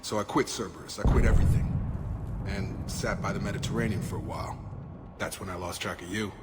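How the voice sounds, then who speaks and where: Man acting as principled soldier, low voice, earnest, plainspoken, weary, game drama, clear background noise. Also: a little fast, close to an everyday voice. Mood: reflective. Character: weary, principled male soldier protecting his people.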